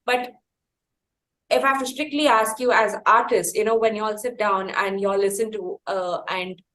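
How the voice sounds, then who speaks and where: A woman's voice: medium pitch, steady tone, moderate pace, a bit bright, rough recording. A woman with an Indian accent hosting a conversational interview, speaking in an effusive, wordy way. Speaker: interviewer, host.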